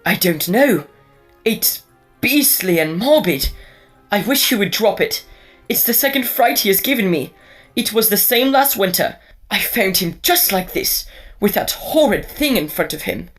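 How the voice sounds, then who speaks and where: Woman acting an anxious, husky young student. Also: medium pitch, lively intonation, unhurried, soft background music. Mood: anxious, fretful. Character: anxious, well-meaning young student friend, disapproving and fretful. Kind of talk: acted audio drama dialogue.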